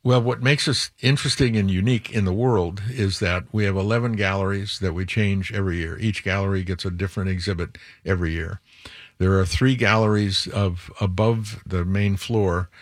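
An older man with a very low voice talks as an interview guest. He rambles a little, restarting and correcting himself as he strings together lists. The sound is dry and close, with no room echo. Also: steady tone, moderate pace. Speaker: museum executive guest. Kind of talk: interview conversation.

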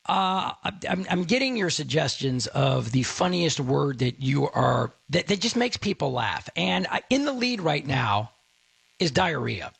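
Middle-aged male tech host, rambling and animated, gravelly voice, dry close sound. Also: fairly high pitch, unhurried, no echo. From Texas. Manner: animated, rambling, many restarts. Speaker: radio and TV tech show host. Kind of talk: fast animated radio talk.

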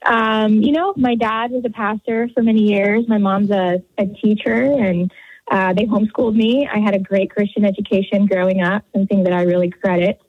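A woman in her thirties with an American accent, giving an earnest, halting personal account as a radio interview guest. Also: mid-range voice, fairly even intonation, slightly rough. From Houston area, Texas, United States. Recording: narrow phone-like line, roomy echo.

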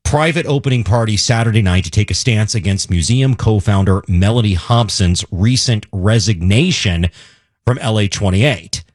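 A man's voice: on the low side, moderate pace, no room sound, studio quality. A man from Kentucky co-hosting a talk radio show, wry and opinionated as he runs through local news. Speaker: radio co-host.

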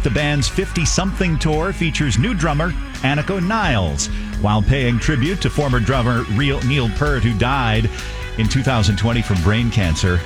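A man anchoring a morning newscast on news radio, reading headlines in a clear, measured delivery. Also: mid-range voice.

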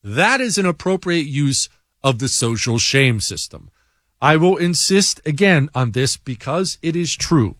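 A man with a fairly high voice hosts a talk show and answers listener mail in a blunt, sarcastic way. His pitch swings widely as he hammers his points home. The sound is dry and close. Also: animated, measured pace, a bit bright, no echo. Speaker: talk show host reading listener emails. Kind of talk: opinionated monologue.

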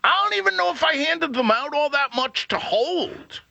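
Booming, animated middle-aged male host. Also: moderate pace, creaky, no room sound, lo-fi sound. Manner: rambling, self-interrupting, laughs at own jokes. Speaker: radio show host. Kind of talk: casual storytelling chat.

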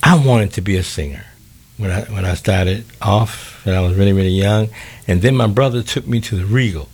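A man speaking as a guest on a radio talk show, reflective and relaxed. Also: lowish voice, brisk, breathy edge. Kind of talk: casual interview.

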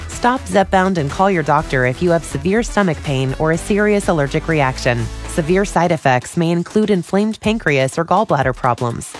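A woman reads a prescription drug ad in a calm, even, informative way. Her voice sits a little low, with faint music underneath. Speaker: ad announcer. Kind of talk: scripted medical ad narration.

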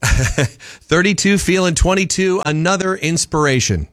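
A man's voice: fairly high pitch, animated, unhurried, a bit bright, slight background noise. A man hosting a radio show, chatting casually and upbeat with a caller. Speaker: radio host.

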